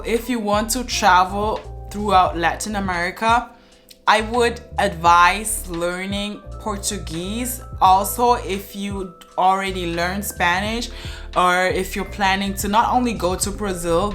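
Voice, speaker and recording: A woman's voice: mid-range voice, fairly bright tone. A young woman giving earnest, chatty advice to the camera from her living room, with many "uh" fillers. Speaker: language vlogger.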